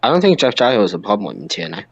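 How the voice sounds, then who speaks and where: A man's voice: fairly low pitch, big pitch swings, racing, slightly rough, lo-fi sound. A British man chatting casually and with strong opinions as co-host of a wrestling podcast. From United Kingdom.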